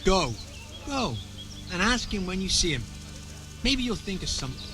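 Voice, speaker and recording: A man's voice: sits high, big pitch swings, moderate pace. An actor playing an impatient, gossipy medieval man in a video game, brusque and sardonic. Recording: music behind the dialogue. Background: background music.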